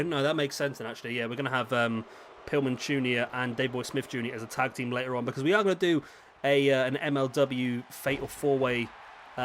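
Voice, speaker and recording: A man with a slightly rough, raspy throat, casually commentating a wrestling video game as the channel host, thinking aloud and correcting himself. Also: mid-range voice, a bit bright, a little hiss or hum. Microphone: headset microphone.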